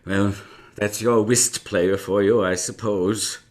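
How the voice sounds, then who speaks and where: A man's voice: on the low side, fairly even intonation, takes it slowly, a little mellow. An actor playing a genial older man reminiscing as narrator in a radio drama, with chatty, hesitant asides. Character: older male narrator reminiscing about his past adventures, genial, wistful. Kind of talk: live radio drama storytelling.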